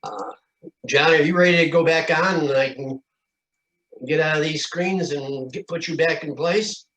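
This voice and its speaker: An American man informally walking through a shared screen on a video call, halting and folksy as he goes. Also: fairly high pitch, a bit bright. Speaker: club meeting co-presenter. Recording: dull video-call audio.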